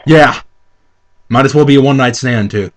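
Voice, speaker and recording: A man's voice: medium pitch, moderate pace. Sarcastic man in loose banter. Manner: sarcastic, crude-humored, swearing. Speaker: co-host of a comedic reading. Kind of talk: dramatic reading with mocking casual commentary.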